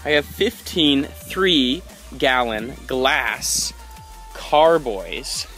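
A man's voice: medium pitch, expressive, drawn-out words, a bit bright. A young man cheerfully promoting his meetup group in a casual vlog, filmed outdoors by a spring on a phone held at arm's length. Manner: upbeat and enthusiastic. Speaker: meetup organizer. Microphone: phone camera at arm's length. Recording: background music under voice.

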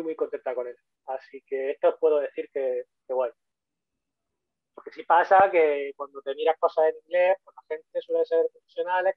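A man's voice: fairly high pitch, no room sound. A man from Spain with a Spanish accent, giving a casual online talk as a 3D modeler on a video call, chatty and repeating points for emphasis. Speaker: guest speaker, 3D modeler. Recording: tinny phone-like call audio.

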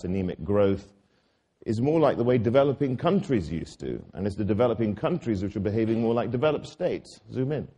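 A man moderating a panel discussion, briskly steering topics and putting questions to the panelists. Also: lowish voice, warm tone, slightly rough.

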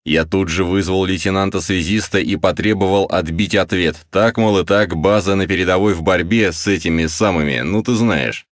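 A man's voice: low-pitched, normal speed, few pauses, no echo, clean studio sound, close to an everyday voice. A man voicing a drunk, guilt-ridden, blunt army colonel for an audiobook. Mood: defensive. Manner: blunt, swearing, self-reproaching. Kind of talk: audiobook character voice.

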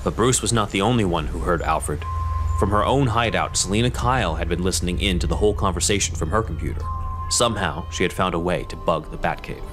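Male narrator, deep voice, dramatic scripted storytelling, loud score behind. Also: fast talker, music in the background.

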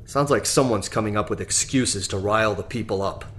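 An actor playing a weary, guarded leader in a post-apocalyptic audio drama, terse and brooding. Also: male voice, on the low side, brisk, fairly bright tone, a little hiss or hum, close to an everyday voice. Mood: grim, brooding.

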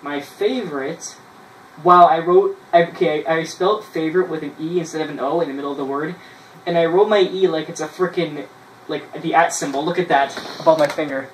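A man, casually reading his old writing aloud in a halting way, with self-mocking asides, in a small bedroom. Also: fairly high pitch. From Canada. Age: 20s. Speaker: vlogger. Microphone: camera a few feet away. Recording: roomy, distant mic with noise.

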